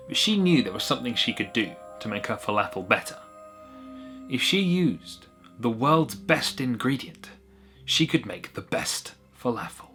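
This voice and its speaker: A man's voice: medium pitch, sharp tone. A man narrating a whimsical short story in a wry, conversational way with little asides.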